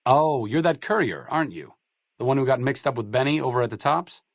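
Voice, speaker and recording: A man's voice: medium pitch, fairly quick, vocal fry, dry recording, studio quality. An actor playing a wry, bookish, idealistic male doctor in a post-apocalyptic video game, articulate and dryly witty. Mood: guarded, curious. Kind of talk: video game companion dialogue.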